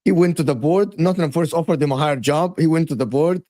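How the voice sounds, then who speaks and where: A man's voice: fairly high pitch, fast talker, warm tone, no echo, studio quality. A man from Egypt insistently pressing his point in a heated football debate. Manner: insistent, interrupting. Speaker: panelist.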